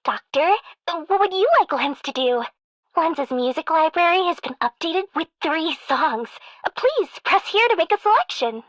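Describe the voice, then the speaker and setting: A small, dutiful robotic assistant in a video game. The voice is extremely high and formal, offering service politely, with a dry studio sound. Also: female voice, expressive, a little slow, a bit bright, no room sound, heavily acted, rough recording. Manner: formal, third-person service talk. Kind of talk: video game character voice line.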